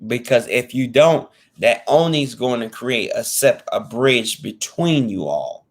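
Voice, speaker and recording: A man's voice: medium pitch, expressive, unhurried. A man in his thirties talking casually to camera as a fitness vlogger, earnest and repetitive, restating his points in informal slang. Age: 30s.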